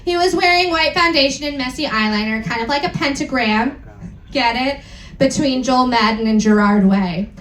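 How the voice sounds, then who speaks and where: A woman's voice: high voice, normal speed, a bit bright. A woman giving a comic live dramatic reading in a theatrical, mock-serious way, breaking off for asides. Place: live event room with audience. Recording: roomy live stage sound.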